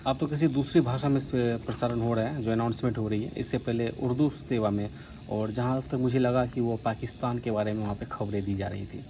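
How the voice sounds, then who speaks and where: A man from India giving a casual, explanatory hobbyist demonstration, pausing now and then mid-sentence. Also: medium pitch, fairly even intonation. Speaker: vlogger demonstrating a vintage radio. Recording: faint background noise.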